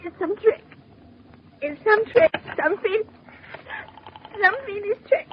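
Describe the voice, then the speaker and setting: An actress playing a nervous, demanding, highly strung invalid wife with a stammering delivery, in 1940s radio thriller style. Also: female voice, expressive, slow pace, full character voice. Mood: anguished, tearful. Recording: muffled, noisy 1940s radio recording.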